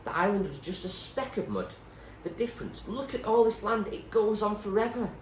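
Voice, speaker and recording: Man voicing an earnest, animated storybook boy, raised pitch. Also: normal speed. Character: young boy companion, earnest, eager, a little defensive. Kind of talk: character dialogue in a storybook reading. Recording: roomy echo, faint background noise.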